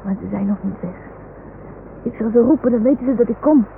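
A woman playing a small, earnest boy in an old radio drama, speaking slowly in simple childlike phrases. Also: fairly high pitch, vocal fry. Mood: anxious, pleading. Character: small earnest boy talking to his toy dog. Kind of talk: 1960s Dutch radio drama acting. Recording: noisy, muffled old radio recording.

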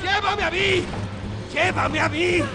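Screaming, desperate priest battling a demon. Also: male voice, very high voice, creaky, full character voice. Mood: furious, frantic. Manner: shouting, frantic, drawn-out cries. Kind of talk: Spanish radio drama acting. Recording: loud music and crowd din behind.

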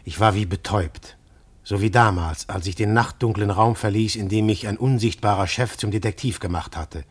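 A man narrating a radio play in the first person, quiet and reflective. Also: on the low side, moderate pace, husky.

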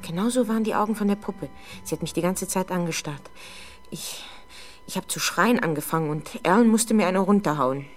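A young woman playing a frightened, defensive teenage girl under police questioning in a 1970s German radio drama, halting and easily exasperated. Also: lowish voice, soft background music, lightly acted. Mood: haunted, agitated. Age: young adult. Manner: hesitant, halting, exasperated when doubted.

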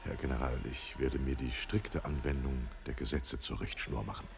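An actor playing a dutiful, dryly formal official in a radio drama. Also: male voice, rumbling low, level tone, moderate pace, gravelly, slightly rough, heavily acted.

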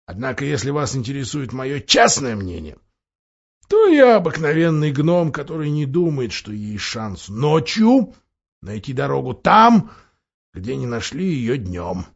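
A sceptical, loyal dwarf acted by a man reading a storybook grumbles bluntly and with emphasis. His pitch jumps around in a lively way. The recording is a little muffled. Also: measured pace, vocal fry, slightly rough, heavily acted. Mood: grumbling, sceptical. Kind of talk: audiobook character voice.

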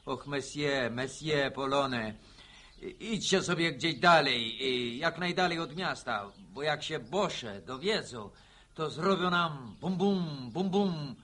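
An actor playing a cocky, jovial war veteran in a radio drama, telling colourful stories. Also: male voice, a little high, animated, slow pace, sharp tone, heavily acted. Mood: mock pleading. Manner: colourful storytelling, mimicking others, peppering French words. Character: cocky, jovial Polish war veteran villager, storytelling raconteur.

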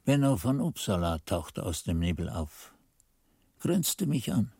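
Husky, earnest monk narrator. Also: male voice, on the low side, big pitch swings, a little mellow, no room sound, full character voice. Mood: reflective, rueful. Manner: measured literary storytelling, rueful. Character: earnest novice monk recounting his memories, reflective, anxious. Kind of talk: scripted radio drama narration.

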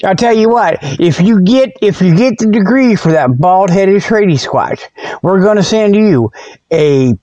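A man's voice: high voice, lively intonation, a little slow, warm tone, no room sound, lo-fi sound. A man hosting a comedy report show as a folksy, rambling monologue, often backtracking and repeating himself.

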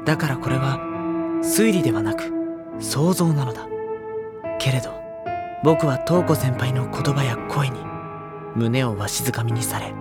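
A man playing a sensitive, introspective high-school boy who narrates his thoughts in an audio drama, measured and phrase by phrase. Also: lightly acted. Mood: entranced, reflective. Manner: measured, literary, pausing phrase by phrase. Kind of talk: Japanese audio drama first-person narration. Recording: loud instrumental music behind the voice.

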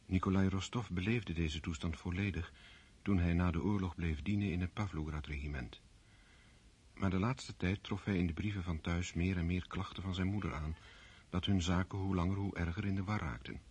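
A man narrates a radio drama in a formal, measured way. His voice is very low and husky. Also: steady tone, a little mellow. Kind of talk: scripted radio-play narration.

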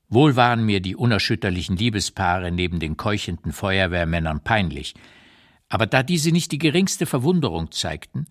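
Old émigré writer, low-pitched, very animated intonation, deliberate emphatic phrasing, unsparing memoir narration, radio play. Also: male voice. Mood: wry astonishment. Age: older. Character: old émigré writer recalling wartime England, unsparing observer. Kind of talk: dramatised literary memoir reading, radio play.

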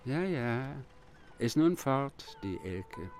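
An actor playing a rustic wandering village fiddler, sly and bitter, talking in clipped, folksy, mocking phrases in a radio drama. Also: male voice, mid-range voice, sing-song, very slow, a little mellow, soft background music. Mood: grateful, wistful. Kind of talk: German radio drama acting.

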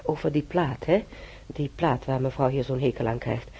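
Een actrice die in een hoorspel een oudere bedlegerige patiënte speelt, moederlijk en snel van slag, haperend en zuchtend. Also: female voice, a bass voice, warm tone, a little hiss or hum. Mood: chatty. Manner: halting, sighing, full of ohs. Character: older bedridden female patient, flustered, teasing, motherly. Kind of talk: radio drama acting.

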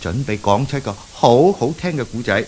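An actor plays a keen, eager storyteller in a vintage radio drama. His voice sits at a middle pitch on a hissy old recording. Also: expressive, moderate pace, a little mellow, close to an everyday voice, rough recording. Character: keen, well-prepared American storyteller man. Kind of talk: 1970s Cantonese radio drama acting.

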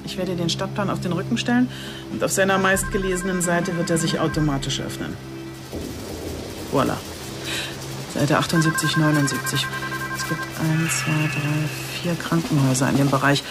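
An actress playing a determined, blunt female police chief inspector in radio drama dialogue, brisk, insistent and sardonic. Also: low-pitched, fairly even intonation, loud music behind the voice, lightly acted.

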